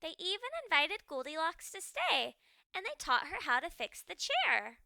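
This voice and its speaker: A woman storyteller reading a children's book aloud with expressive, dramatic and deliberately phrased narration. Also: extremely high pitch, gravelly, no room sound.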